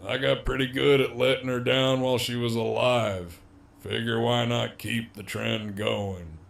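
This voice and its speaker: A man playing a cocky, sardonic middle-aged captive villain in an audio drama, with a gravelly, teasing drawl. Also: medium pitch, steady tone, measured pace. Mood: bitter. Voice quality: gravelly, creaky.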